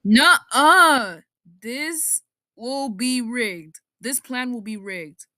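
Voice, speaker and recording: A woman giving blunt, offhand commentary, speaking slowly. Her tone is bright and sharp, recorded dry and close. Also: a little high, no echo. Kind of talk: casual reaction commentary.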